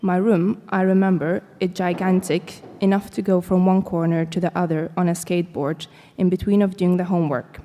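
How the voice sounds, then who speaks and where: Woman student reading prose aloud, even and measured, warm tone, lecture-hall reverb. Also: lowish voice, a little hiss or hum.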